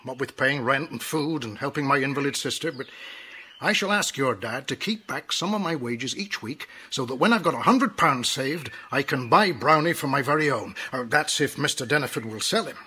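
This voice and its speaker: An actor playing a kind, humble stable worker, earnest and homely, in a children's audio drama. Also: male voice, medium pitch, expressive, normal speed, bright, forceful tone, breathy edge. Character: humble, kind-hearted male stable worker who loves horses.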